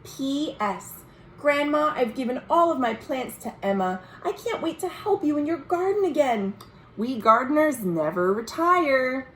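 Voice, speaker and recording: An American woman voicing an earnest, hopeful young girl's letters home from a storybook, with drawn-out, sing-song emphasis. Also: sits high, animated, slight background noise, rough recording. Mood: excited, tender. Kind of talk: expressive storybook letter reading.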